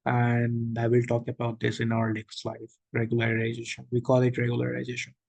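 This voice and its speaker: A man presents an online workshop over a video call, his mid-pitched voice carrying a gravelly edge. He explains step by step, dropping in okays and restarting his sentences. The sound is dry and close. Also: monotone, brisk, a little mellow, no room sound. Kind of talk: online lecture with questions.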